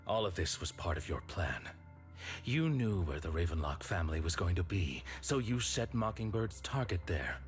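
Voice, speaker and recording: A calm, low-voiced accuser. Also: male voice, moderate pace, faint music behind. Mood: accusing. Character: cool accuser laying out a crime. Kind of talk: video game voice acting.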